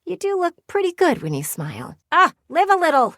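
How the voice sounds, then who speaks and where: A woman's voice: very high-pitched, wildly swooping pitch, a little fast, a bit bright, no room sound, clean studio sound, exaggerated voice. A woman voicing a nosy, sassy talking window in a video game, chatty and theatrical like a gossiping neighbor. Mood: playful, excited. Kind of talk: video game character voice acting.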